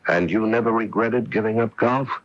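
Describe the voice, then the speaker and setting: An actor in a radio drama playing a patient police lieutenant who probes a suspect in an understated way. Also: male voice, fairly low pitch, moderate pace, fairly bright tone, no room sound, natural voice. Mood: probing, guarded. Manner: understated, probing. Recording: muffled old AM radio broadcast.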